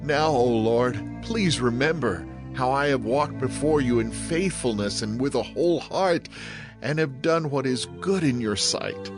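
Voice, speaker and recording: A man's voice: medium pitch, measured pace, music in the background, natural voice. A man playing a devout king who pleads humbly and earnestly in a dramatized scripture reading. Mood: anguished plea.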